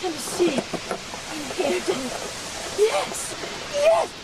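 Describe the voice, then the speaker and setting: A stubborn, emotional woman doctor fighting to escape in a radio drama. Her high voice swoops widely with urgent defiance over a noisy recording. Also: sing-song, slow, heavily acted. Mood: desperate.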